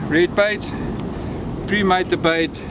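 A man's voice: sits high, slow. A man with a South African accent explaining fishing spots outdoors on a rocky seashore, recorded on a camera mic. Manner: relaxed explanatory talk while walking the rocks. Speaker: angler guide. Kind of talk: on-location fishing tips. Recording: loud wind and surf noise outdoors. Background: wind and crashing waves.

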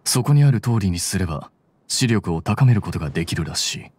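Aloof, low-voiced young scholar. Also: male voice, lively intonation, breathy edge, no room sound. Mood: cool, detached. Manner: detached, blunt, measured. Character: cool, aloof young male scholar, bluntly sardonic. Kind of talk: anime game voice acting. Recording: soft music behind.

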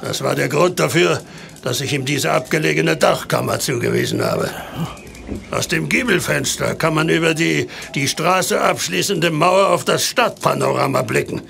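An actor playing a grumpy, impatient old landlord in an audio drama, with a gruff, curt way of talking and a gravelly voice. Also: male voice, fairly high pitch, lively intonation, normal speed, fairly bright tone, a little hiss or hum, full character voice. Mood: grumbling. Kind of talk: German audio drama acting.

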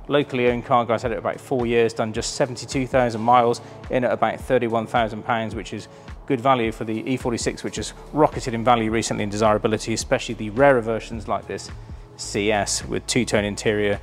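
A man, chatty and enthusiastic, presenting a car auction preview in a large warehouse showroom. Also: mid-range voice. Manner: enthusiastic, chatty, filled with ums.

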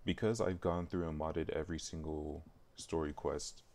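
A man thinking aloud in a casual, halting way as he streams work at his computer, muttering to himself. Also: a bass voice, fairly even intonation, a little mellow. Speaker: live streamer working on a game mod. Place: indoors at a computer, keyboard typing.

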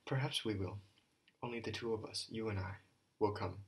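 An earnest young man speaks gently, then with swelling feeling to a group of boys, as a male audiobook reader plays him. The voice is low and slightly husky, grave rather than bright. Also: moderate pace. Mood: gentle. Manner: earnest, rising to a heartfelt address.

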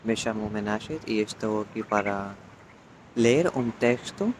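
A young man from India with an Indian accent, a non-native speaker reading prose aloud in short, halting phrases. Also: lowish voice. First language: not Portuguese. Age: young adult. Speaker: language student reading a text. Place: outdoors on a beach. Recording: noisy outdoor phone recording.

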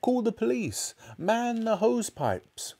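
A man's voice: very high voice, very animated, slow pace, mellow, voice pushed up, exaggerated voice. A man voicing an anxious, exasperated middle-aged wife and mother from a storybook, crying out in alarm.

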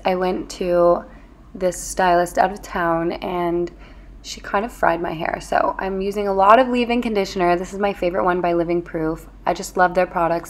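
A young woman chatting casually and informally to viewers as a beauty vlogger, sprinkling her talk with "like". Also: fairly low pitch. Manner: informal, chatty, frequent "like" and "you know". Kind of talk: casual chat to viewers while doing beauty routine. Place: at home in an apartment.

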